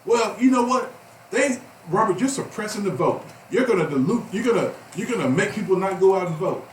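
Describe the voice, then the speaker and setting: A man's voice: high-pitched, expressive, brisk. A man of fifty co-hosting a talk radio show, passionate and emphatic, rising to outbursts. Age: 50s. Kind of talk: heated talk radio discussion. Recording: roomy, slightly distant, faint background noise.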